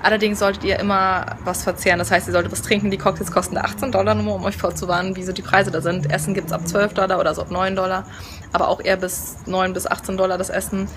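A woman's voice: medium pitch, level tone, quick pace. A young woman chatting in a casual travel vlog, bubbly with lots of fillers, filming herself outdoors on a phone held at arm's length. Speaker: vlogger. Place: outdoors by a house and a hotel pool. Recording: outdoor phone audio with background noise.

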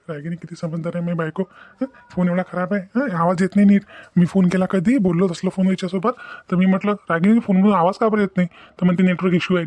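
A man's voice: sits high, a little mellow. A man voicing a devoted, earnest young husband in a cartoon family drama, with a Varhadi Marathi accent. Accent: Maharashtrian, Varhadi Marathi. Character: devoted, considerate young husband musing to himself.

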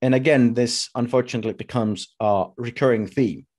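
A man in his thirties giving a personal talk as a speaker, telling his story in short, halting, deliberate phrases. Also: mid-range voice, a little mellow. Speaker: conference speaker. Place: indoors at home, video call.

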